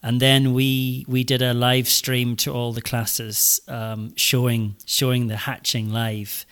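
A man's voice: medium pitch, level tone, slow, a bit bright. A Northern Irish man in his forties, a school head, talking eagerly in a podcast interview with lots of ums and restarts. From Northern Ireland. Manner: enthusiastic, many fillers and restarts.

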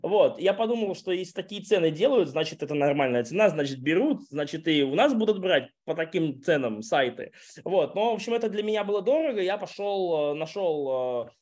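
Male podcast guest, notably high pitch, relaxed rambling talker. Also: brisk. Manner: relaxed, rambling, casual swearing. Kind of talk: casual interview chat.

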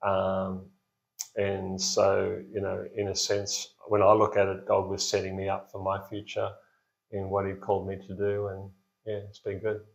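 Gravelly middle-aged man, hesitant. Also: deep voice, completely flat delivery, normal speed. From Blue Mountains, New South Wales, Australia. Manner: hesitant, many fillers and restarts, laughs easily. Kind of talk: casual interview chat. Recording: echoey, dull lo-fi room sound.

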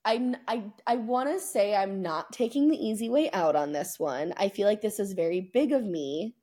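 A woman's voice: mid-range voice, animated, moderate pace, dark tone. A woman co-hosting a sports podcast in casual, chatty conversation, with a playfully self-mocking way of talking. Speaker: podcast host. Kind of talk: casual sports podcast chat, season recap.

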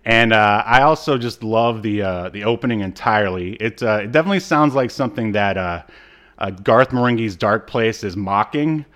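A man's voice: fairly low pitch, moderate pace, fairly bright tone. An American man co-hosting a casual comedic chat, given to jokey, mock-dramatic asides. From United States. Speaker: podcast co-host.

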